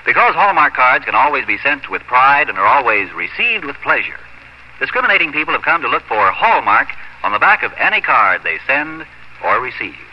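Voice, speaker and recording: A man's voice: mid-range voice, sing-song, fairly quick, a little hiss or hum. A man announcing a 1950s radio show and reading its sponsor messages in a scripted style. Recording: muffled old radio recording, music behind.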